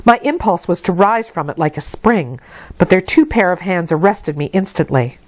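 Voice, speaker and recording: A woman storyteller reading a classic novel aloud in an expressive literary narration. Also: lowish voice, normal speed, dry recording.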